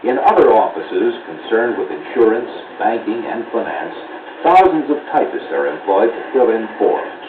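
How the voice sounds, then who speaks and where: A man with an American accent reads scripted instructional narration in a measured, didactic, clearly enunciated manner. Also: lowish voice, big pitch swings, echoey room, recorded from a distance. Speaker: narrator of an educational film. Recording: muffled, noisy 1970s 16mm film soundtrack.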